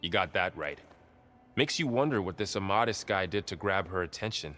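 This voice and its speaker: An actor playing a loyal, thoughtful young warrior in a video game, speaking in a calm, sincere, conversational way. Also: male voice, deep voice, a little fast, faint music behind. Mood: sincere, easygoing. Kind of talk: naturalistic video game cutscene acting.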